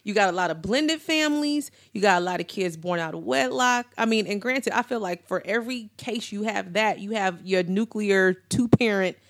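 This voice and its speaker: A woman's voice: medium pitch, moderate pace, hardly any pauses. An American woman co-hosting a casual discussion, talking in an earnest, reflective way. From United States.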